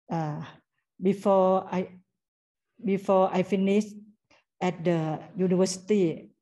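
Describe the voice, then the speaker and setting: A middle-aged Thai woman speaks in soft, mellow tones at an unhurried pace. Her English is simple and halting, full of restarts. Her voice comes through a muffled, lo-fi video call. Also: fairly low pitch. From Thailand. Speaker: guest speaker. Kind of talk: prepared talk over video call.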